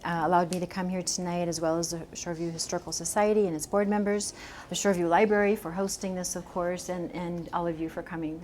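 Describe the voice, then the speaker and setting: A soft-spoken woman in her sixties giving a gentle, chatty library talk as an author, often laughing a little. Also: on the low side, a little hiss or hum. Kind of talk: informal public talk. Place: library meeting room.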